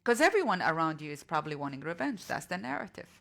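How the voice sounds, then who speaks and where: A woman whose first language is Arabic moderates a panel discussion in English. Her voice sits fairly low, and she presses her guests with earnest, probing questions. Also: sing-song, rapid-fire, gravelly, a bit bright. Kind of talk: panel interview.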